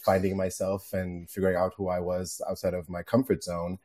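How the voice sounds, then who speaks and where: A man from Denmark, a guest on a podcast interview, relaxed, laughing easily, with many fillers. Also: sits low, fairly quick.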